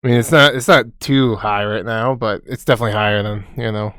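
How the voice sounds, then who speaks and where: A man's voice: fairly low pitch, fairly even intonation, fast, fairly bright tone, clean tone, dry recording, studio quality. A young man co-hosting a casual podcast, rambling and swearing constantly. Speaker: podcast co-host. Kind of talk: casual chat.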